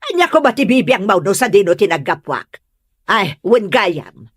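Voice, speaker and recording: A woman acts as a proud, quarrelsome middle-aged mother in a comedy radio drama. She scolds bluntly and emphatically, with very animated pitch in a dry, close recording. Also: moderate pace, slightly rough, no room sound. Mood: disapproving. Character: disapproving, proud, quarrelsome mother of a grown son.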